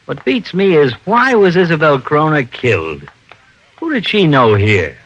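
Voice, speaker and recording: An actor playing an earnest, plain-spoken American police officer, a detective's loyal friend, in old-time radio drama. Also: male voice, fairly high pitch, sing-song, a little slow, fairly bright tone, highs cut off, full character voice. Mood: pondering. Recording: old radio broadcast recording.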